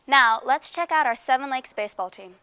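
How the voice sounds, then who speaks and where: A woman's voice: high voice, lively intonation, a little fast, sharp tone, clean tone. A teenage girl anchoring a student news show, introducing segments. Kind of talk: scripted show links.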